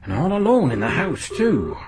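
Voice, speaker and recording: An actor playing a steady village police sergeant who speaks slowly and deferentially in a radio drama. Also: male voice, on the high side, gravelly, a crowd in the background, exaggerated voice. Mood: puzzled. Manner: slow, deliberate, deferential. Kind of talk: 1980s BBC radio drama acting.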